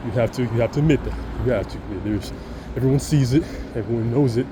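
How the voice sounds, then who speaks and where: Deep-voiced man over loud street noise. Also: fast talker. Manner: earnest, repetitive, many restarts and stammers. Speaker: vlogger. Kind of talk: casual monologue to camera.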